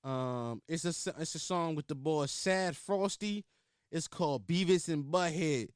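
A man's voice: fairly high pitch, a little slow, clean tone, no echo, clean studio sound. A man with a Philadelphia accent hosting his own podcast in casual, rambling, profane chat full of filler words. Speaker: podcast host.

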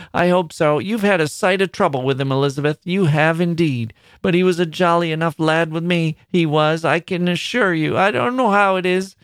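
A man's voice: on the high side, smooth, no echo, studio quality, voice pushed up, close to an everyday voice. A man voicing a fretful elderly miner's mother from the English Midlands, who weeps, laments and rambles. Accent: English Midlands dialect. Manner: whining, lamenting, repetitive.

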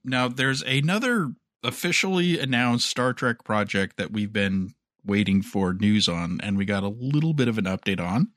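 Bright, low-pitched man discussing entertainment news. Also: normal speed, hardly any pauses, dry recording, studio quality. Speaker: podcast co-host.

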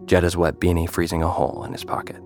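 A man's voice: sits low, monotone, quick pace, over loud music. A man reading scripted narration for an audio drama.

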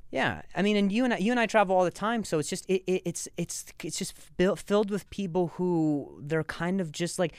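A man's voice: sits high, fairly quick, few pauses, clear, resonant tone, no room sound, studio quality. A man co-hosting a casual chat, rambling with many restarts and laughing easily. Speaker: podcast co-host.

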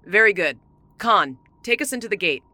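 A woman's voice: mid-range voice, animated, moderate pace, pushed and bright, no room sound. A woman playing a weary, firm starship captain in a science fiction audio drama, terse and commanding.

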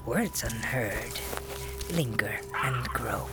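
A woman's voice: a bass voice, lively intonation, takes it slowly, noisy background, full character voice. A woman playing a sly, menacing male rune master and hunter in a fantasy audio drama, taunting in clipped, stilted phrases. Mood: cryptic.

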